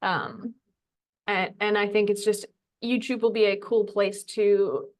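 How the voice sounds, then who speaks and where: A woman's voice: medium pitch, fairly even intonation, takes it slowly. Casual, self-deprecating woman with a mid-range voice. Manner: informal, self-deprecating, loosely phrased. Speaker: podcast guest, fitness coach. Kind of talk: casual conversational talk.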